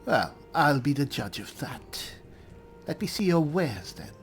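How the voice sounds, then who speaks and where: A man voicing a cool, dry high elf spellsword, musing formally as he roleplays through a fantasy game. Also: sing-song, faint music behind. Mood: dry, polite. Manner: measured, formal, thinking aloud.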